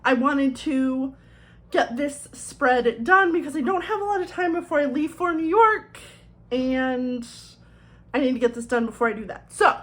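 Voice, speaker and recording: A woman's voice: high voice, animated, unhurried. A woman chatting casually as she decorates a planner, her voice a little thick from swollen tonsils, rambling in self-deprecating asides. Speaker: planner vlogger.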